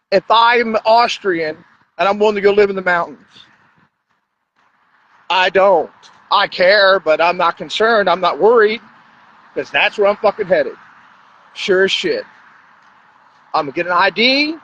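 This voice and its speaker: A man's voice: high-pitched, fairly bright tone. A man talking in a blunt, crude, rambling monologue as a podcast host. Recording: dull lo-fi sound.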